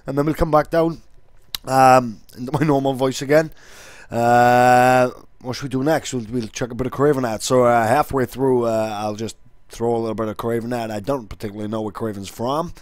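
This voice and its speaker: A man's voice: medium pitch, steady tone, normal speed, no room sound, studio quality. A man with a Welsh accent, explaining things casually as an online video creator.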